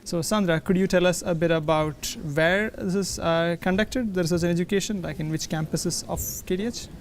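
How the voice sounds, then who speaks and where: Brief: thirties male webinar host, friendly and measured, a bit higher pitched, faint room noise. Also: steady tone. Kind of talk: hosting a university webinar. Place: large library hall indoors.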